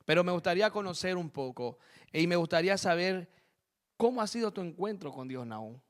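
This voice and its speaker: A man with a slightly raised pitch hosts a church interview program as its pastor. He speaks fervently and keeps repeating amens and blessings between his questions. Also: animated, fairly quick. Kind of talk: preaching interview with spoken prayer. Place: church program set in Spain.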